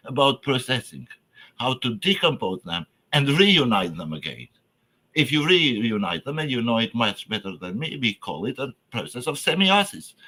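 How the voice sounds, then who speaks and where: A man's voice: mid-range voice, sharp tone. A man whose first language is Albanian gives an academic lecture in English, hesitant and searching for words, with frequent fillers and restarts.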